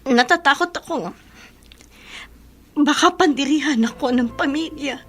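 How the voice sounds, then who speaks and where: An actress playing a troubled, gentle mother in a radio drama, earnest and polite, with a Filipino accent. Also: high-pitched, a little slow. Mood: fearful. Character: troubled young mother and leprosy patient, gentle, respectful, fearful. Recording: music under the voice.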